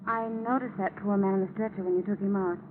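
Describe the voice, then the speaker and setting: An actress playing a cool, flirtatious, secretive female stowaway in a 1940s radio drama, coy and sharp-tongued. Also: medium pitch, fairly even intonation, quick pace, over music, close to an everyday voice. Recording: tinny, noisy old radio recording.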